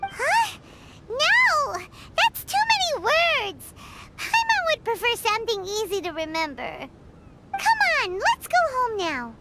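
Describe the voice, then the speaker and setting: A woman voicing a tiny, bubbly, childlike fairy companion in a video game, squeaky and excitable. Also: slow, heavy background noise, exaggerated voice. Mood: indignant. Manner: refers to self in third person, squeaky and exclamatory.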